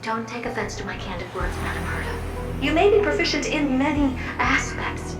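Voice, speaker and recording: A high, theatrical floating puppet. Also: female voice, moderate pace. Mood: fawning. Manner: theatrical, flattering, playful storyteller. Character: fawning theatrical floating puppet helper, female. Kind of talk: video game voice acting. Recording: roomy and distant, with music. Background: game music.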